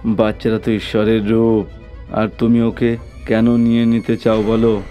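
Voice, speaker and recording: A male narrator acts out a stern village exorcist in a horror cartoon. His voice is mellow and dark, with faint music behind it. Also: on the low side, completely flat delivery, a little slow, muffled recording, lightly acted. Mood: commanding.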